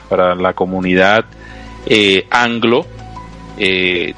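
A man hosting a live radio talk show, speaking earnestly with pauses and small restarts. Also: lowish voice, bright, forceful tone. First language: Spanish.

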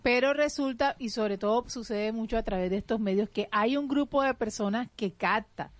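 A woman's voice: on the high side, moderate pace, no echo. A woman co-hosting a spiritual talk show, affirming her partner and asking follow-up questions, often hesitating with fillers. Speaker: co-host.

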